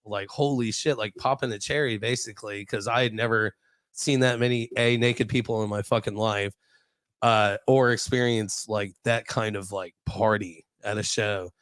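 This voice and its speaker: A man's voice: fairly low pitch, steady tone, normal speed, a bit bright, no echo. American man swapping stories, close dry sound. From Ohio, United States. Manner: profane, slangy. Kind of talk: casual chat, storytelling.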